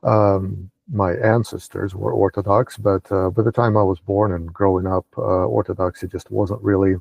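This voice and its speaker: A man's voice: low-pitched, fairly even intonation, normal speed, vocal fry, darkish tone, no room sound. A man from Russia hosting an interview, asking long questions full of hesitant fillers. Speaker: interviewer, podcast host. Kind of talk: casual interview conversation.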